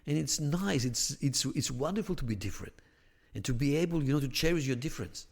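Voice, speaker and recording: Man, gravelly voice. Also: mid-range voice, lively intonation, a little fast, long reverb tail. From Greece. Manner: thoughtful, many restarts and "you know" fillers, rising emphasis on key points. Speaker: podcast guest, theology academic. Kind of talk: conversational explanation.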